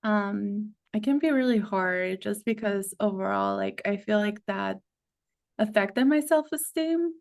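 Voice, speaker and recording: A woman's voice: mid-range voice, very animated, a little slow. Chatty woman coach, laughing easily. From United States, Mexican parents. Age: young adult. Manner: chatty, laughs often, many "like" fillers. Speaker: guest, abundance coach. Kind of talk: casual podcast conversation.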